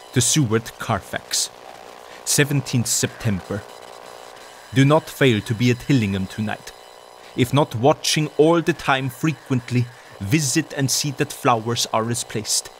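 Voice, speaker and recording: An actor playing an urgent, commanding doctor, delivering a telegram in clipped, deliberate phrases in an audio drama. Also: male voice, mid-range voice, expressive. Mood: urgent, stern. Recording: clearly noisy, radio-like background.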